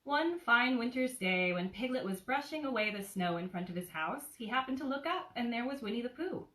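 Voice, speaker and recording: A woman's voice: on the high side, lively intonation, fairly quick, sharp tone, recorded from a distance. A woman presenting and reading a children's bedtime story in a casual storytelling style. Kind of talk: casual introduction and storybook narration. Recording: roomy, a little off the mic.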